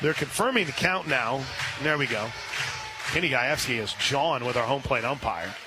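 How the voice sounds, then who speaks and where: An American man working as a radio play-by-play announcer, delivering softball commentary and sponsor reads in clipped, punchy phrases. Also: mid-range voice, expressive, normal speed, over music.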